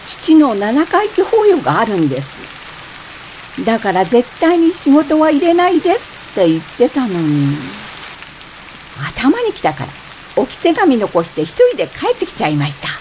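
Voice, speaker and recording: A woman's voice: fairly high pitch, huge pitch swings, unhurried, a little mellow, a little hiss or hum, rough recording. An actress playing a frank married woman fed up with her husband, in conversational, wry radio drama dialogue. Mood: grumbling. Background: rain.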